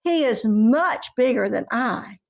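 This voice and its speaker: A woman's voice: fairly high pitch, lively intonation, takes it slowly, vocal fry, lo-fi sound, exaggerated voice. A woman voicing a middle-sized male billy goat, nervous and bargaining, in a children's folk tale.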